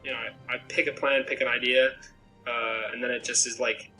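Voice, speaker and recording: A man's voice: mid-range voice, flat delivery, a little fast, pushed and bright, faint music behind, low-quality recording. A young man from Maine talking about himself in a rambling, reflective way with many restarts, as a contestant in a pregame interview over a laptop webcam call from a small bedroom. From Maine, USA. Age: young adult. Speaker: game contestant. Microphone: laptop webcam video call.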